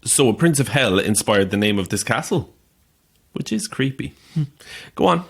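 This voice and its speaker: A man's voice: lowish voice, lively intonation, measured pace, gravelly, slightly rough, full character voice. A man playing a sceptical, grumbling holidaymaker in a naturalistic audio horror drama, with a dry, terse, questioning manner. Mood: intrigued. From Ireland.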